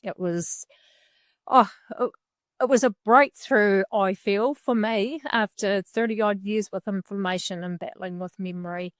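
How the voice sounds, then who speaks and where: A middle-aged woman with a New Zealand accent giving a heartfelt personal testimonial at a measured pace. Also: mid-range voice, steady tone, no echo, studio quality.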